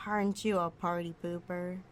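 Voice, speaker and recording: A woman's voice: on the low side, fairly even intonation, measured pace, lightly acted. Woman playing a cocky, sarcastic female thief, noisy recording. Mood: sulky, teasing. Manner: sassy, sarcastic, foul-mouthed. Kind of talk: ASMR roleplay audio drama.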